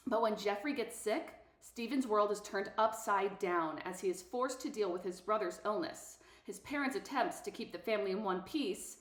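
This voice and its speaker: A woman with a mid-pitched voice hosts a book read-aloud. She moves between chatty introduction and reading from the page. The room around her is clearly echoey. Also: moderate pace, hardly any pauses.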